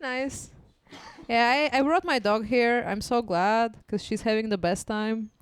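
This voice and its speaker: A woman's voice: fairly high pitch, unhurried, smooth. A young woman from Bulgaria with a Bulgarian accent, chatting casually as a comedian guest on a podcast, joking and laughing often. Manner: laughing often, self-deprecating and animated. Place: podcast studio.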